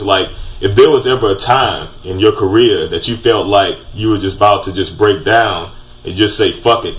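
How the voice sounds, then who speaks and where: Loud, slangy man with a low voice. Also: poor audio. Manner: loud, slangy and laid-back. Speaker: show host. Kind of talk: casual call-in show chat.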